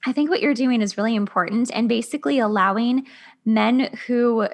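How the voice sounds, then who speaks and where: A woman's voice: a little high, moderate pace. A woman hosting a podcast in casual conversation, agreeable and informal, qualifying her points as she goes. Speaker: podcast host. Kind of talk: casual conversational interview talk.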